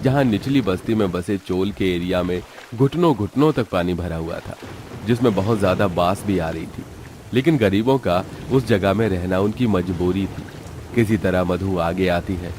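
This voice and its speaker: A man's voice: low-pitched, darkish tone, slight background noise. A man narrating a scripted, dramatic story.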